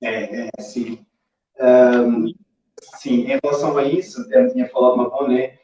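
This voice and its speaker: Male interview guest, Cape Verdean accent, mellow and hesitant, heard from far off in a very reverberant space. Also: medium pitch, steady tone, measured pace. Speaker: interview guest, animation creator. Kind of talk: casual interview answers. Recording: very echoey, distant, dull sound.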